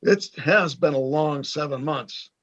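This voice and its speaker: A man's voice: fairly high pitch, unhurried, fairly bright tone, muffled recording. A man playing a teasing, restless middle-aged husband and father in an amateur radio play, coaxing and playful.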